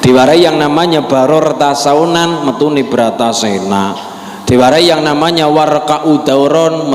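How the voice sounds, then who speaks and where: A man with a Javanese accent giving a humorous sermon, telling jokes and acting out little dialogues. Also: on the high side. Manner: jokey storyteller, acts out dialogues with voice shifts. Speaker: preacher. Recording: echoey hall with long reverb.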